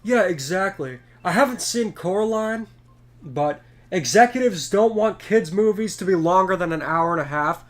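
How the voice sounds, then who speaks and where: Man, high-pitched voice, opinionated, casual gaming chat, quick questions. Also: animated, soft background music.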